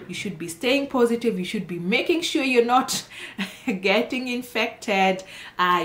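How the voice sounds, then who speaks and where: A woman speaking informally to viewers as an immigration consultant, emphatic and encouraging, repeating phrases for stress. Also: medium pitch. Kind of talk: informal explainer addressed to viewers. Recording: roomy, echoing voice.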